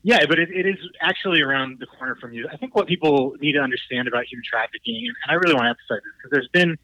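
A man's voice: on the high side, lively intonation, rapid-fire, gravelly, bright, forceful tone, highs cut off. A man working as a prosecutor, speaking as a radio interview guest in an earnest, explanatory way with some fillers.

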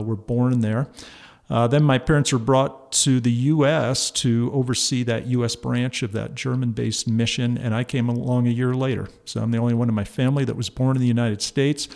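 A man in his fifties from northern New Jersey, a pastor hosting his church's podcast, talking in a relaxed way with many ums and uhs. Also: medium pitch, vocal fry. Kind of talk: casual church podcast monologue.

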